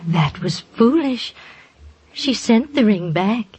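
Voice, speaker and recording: A woman's voice: on the high side, animated, takes it slowly, a little mellow, slight background noise. A woman acting a weary, long-married wife in an old radio drama, soothing and resigned. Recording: dull, lo-fi old radio recording.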